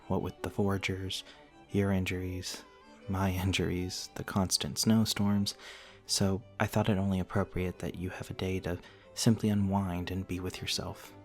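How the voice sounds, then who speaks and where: Man playing a very deep-voiced, courteous gentleman vampire. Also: close to an everyday voice. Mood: considerate, tender. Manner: formal, polite, slightly hesitant phrasing. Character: courteous, gentle, old-fashioned male vampire host of a manor. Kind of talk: ASMR roleplay, soft-mannered dramatic monologue to the listener.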